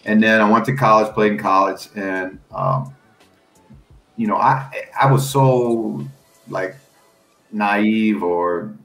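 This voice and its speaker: Deep-voiced middle-aged American man, roomy sound. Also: slow pace, gravelly, pauses often, soft background music. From United States. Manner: animated, folksy, laughs while telling stories. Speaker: guest coach and analyst. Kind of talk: casual storytelling on a podcast.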